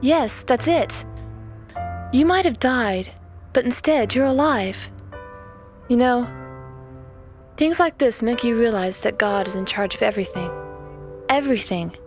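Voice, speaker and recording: A sincere young heroine in a radio play. Her fairly high voice is earnest and devout, with music behind it. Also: expressive, unhurried, pauses often. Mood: reflective. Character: earnest, devout young woman, resolute but shaken. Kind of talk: audio drama acting.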